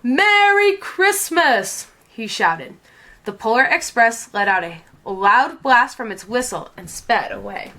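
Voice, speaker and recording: A woman reading a children's storybook aloud in an expressive, dramatic and deliberate storytelling style. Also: medium pitch. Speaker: storybook reader.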